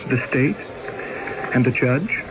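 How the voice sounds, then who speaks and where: A man's voice: mid-range voice, lively intonation, takes it slowly, highs cut off. An actor playing a desperate, naive young exiled convict in an old-time radio drama, earnest and faltering in his delivery. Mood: anguished. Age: young adult.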